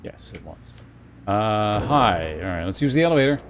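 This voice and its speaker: A man's voice: lowish voice, animated, moderate pace, slight background noise. A man streaming a video game, giving casual live commentary and thinking aloud in short muttered remarks.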